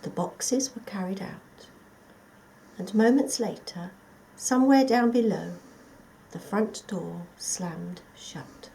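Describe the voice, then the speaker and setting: Slow, expressive woman storyteller. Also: medium pitch, gravelly, a little hiss or hum, low-quality recording. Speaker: storybook reader. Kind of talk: scripted children's story narration.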